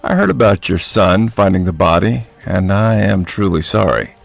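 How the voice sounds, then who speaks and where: An actor playing a stern, commanding man with grave manners in a radio drama, slow and authoritative. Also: sits low, lively intonation, gravelly, faint music behind.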